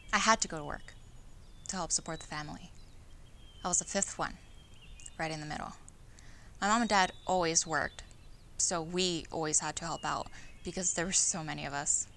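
Plainspoken daughter, creaky bright voice. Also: female voice, lowish voice, slight background noise. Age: young adult. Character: plainspoken middle daughter of big working family, reminiscing. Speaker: actor in an oral history dramatization. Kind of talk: dramatized conversational monologue.

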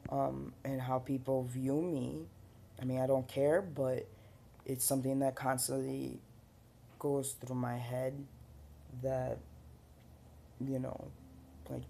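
Gravelly, hesitant young man on webcam. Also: medium pitch, dark tone, a little hiss or hum. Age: young adult. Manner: hesitant, many fillers and restarts. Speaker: vlogger. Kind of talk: casual rambling vlog update. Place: porch outdoors, seated on wicker chair.

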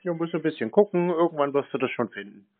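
A man's voice: on the high side, lively intonation, fast, dry recording. Unfazed man, dry phone-like sound. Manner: unfazed, dryly provoking, nonchalant. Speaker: scam-baiter. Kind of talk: casual phone conversation.

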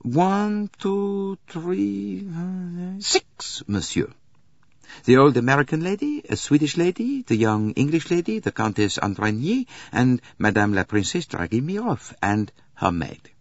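A man's voice: medium pitch, wildly swooping pitch, normal speed, no echo, highs cut off, voice pushed up. A man voicing an obliging, deferential train conductor in an audiobook, speaking carefully. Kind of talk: audiobook character voice.

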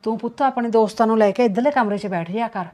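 Woman as nagging middle-aged mother, loud and a touch high. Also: fast talker, close to an everyday voice. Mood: scolding. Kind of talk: comic family skit acting.

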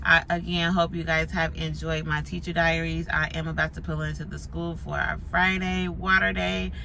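A young woman with a raspy, hoarse voice, a teacher chatting candidly to a phone camera on her dashboard while she drives. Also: deep voice, level tone, normal speed, low-quality recording. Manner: candid, chatty, swears casually. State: voice going hoarse. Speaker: teacher vlogging. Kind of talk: casual chat. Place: inside a moving car. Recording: loud road noise from driving.

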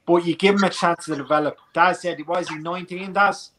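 A man's voice: sits high, fairly even intonation, a little fast, a bit bright, slight background noise. An Irish man giving his opinions on football in a casual post-match chat over a video call, passionate and repeating his points for emphasis. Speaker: football pundit.

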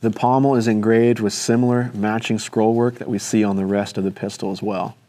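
A young man presenting and describing a pair of antique pistols in a measured, detailed way, seated at a table in an indoor studio set. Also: on the low side, level tone, dark tone. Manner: measured, detailed describing while handling objects. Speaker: firearms presenter. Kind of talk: informal product walkthrough.

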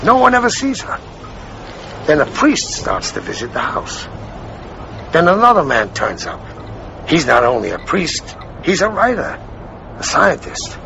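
Gravelly, probing police lieutenant. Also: male voice, a little high, huge pitch swings, measured pace, pauses often, muffled recording, noisy background, full character voice. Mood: slyly probing. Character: probing, courteous police lieutenant, slyly indirect. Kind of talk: radio drama acting.

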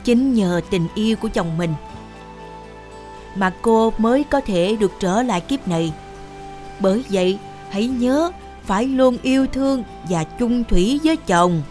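A woman plays an old male deity in a cartoon fairy tale. He is benevolent yet solemn, giving measured warnings. Faint music runs behind the voice. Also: fairly high pitch, lively intonation, a little mellow. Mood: admonishing. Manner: measured, admonishing.

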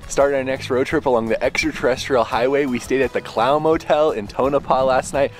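A man's voice: medium pitch, fairly even intonation, brisk, soft background music. A man in his thirties talking casually to a camera he holds at arm's length, chatty and upbeat as he walks around outdoors. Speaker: travel vlogger on camera. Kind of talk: casual on-the-spot vlog talk. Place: outdoors on cold mining site and hotel interior. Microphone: camera held at arm's length.

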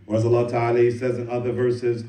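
Man, imam delivering a sermon, fast steady flat delivery, low mellow voice, echoey distant sound. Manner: recites Arabic verses quickly then translates into English. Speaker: imam giving Friday sermon. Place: mosque prayer hall.

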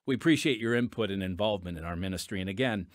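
A man's voice: lowish voice, very fast, no room sound. A man hosting and narrating a scripted radio podcast, addressing listeners earnestly and directly. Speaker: podcast host. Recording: dry studio with music bed.

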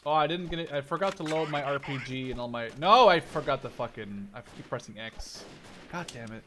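A man in his twenties giving casual, excitable let's play commentary, thinking out loud as he goes. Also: medium pitch, a little fast, slight background noise. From Canada or the Netherlands. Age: 20s. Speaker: gaming video host.

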